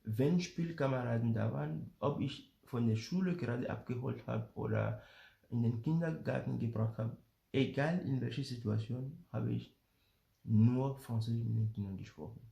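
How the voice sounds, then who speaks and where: A man from Benin explains family life calmly and slowly, pausing often with fillers and repeating words as he searches for them. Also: mid-range voice, gravelly, reverberant, lo-fi sound. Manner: slow, hesitant, many fillers and repeated words. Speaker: father sharing family experience. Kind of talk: casual explanatory talk to camera.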